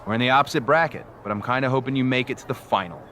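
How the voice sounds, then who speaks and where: A friendly but competitive male wrestler, acted by a man in a video game. The voice is medium-pitched and very bright, with a little background noise. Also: very fast, lightly acted. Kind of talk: cinematic sports game dialogue.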